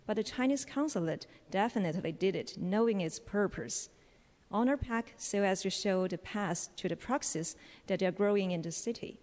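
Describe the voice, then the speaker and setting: Woman, mid-pitched public commenter, formal and accusatory political speech, echoing chamber. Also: darkish tone. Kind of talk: prepared political speech at a meeting. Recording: long echoing hall reverb.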